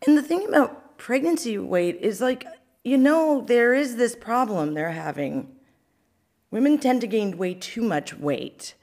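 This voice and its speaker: Animated, blunt woman giving casual advice. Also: fairly high pitch, measured pace. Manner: blunt, emphatic, exclamatory. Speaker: advice show co-host. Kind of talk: casual opinionated advice chat.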